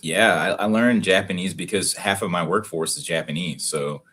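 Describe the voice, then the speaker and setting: A man's voice: low-pitched, normal speed, fairly bright tone, husky. A middle-aged man chatting casually as a guest on a livestream, laid-back and swearing now and then. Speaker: guest, card collector.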